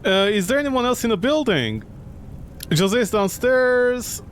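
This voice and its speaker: A man voicing a calm male private investigator in a visual novel, measured and questioning. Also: extremely high pitch, slight background noise, pitched above the performer's own voice. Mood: calm, probing.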